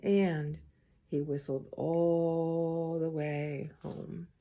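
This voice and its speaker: A woman reading a picture book aloud to young children, expressive and gently pausing to speak to her listeners. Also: low-pitched, muffled recording, slight background noise. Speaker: storybook reader, school well-being coordinator.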